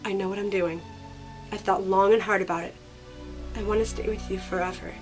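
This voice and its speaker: A woman's voice: mid-range voice, fairly even intonation, brisk, a little mellow, natural voice, low-quality recording. Woman acting earnest teenage girl over music. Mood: resolute. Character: earnest, heartfelt sixteen-year-old girl in love. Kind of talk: audio drama acting.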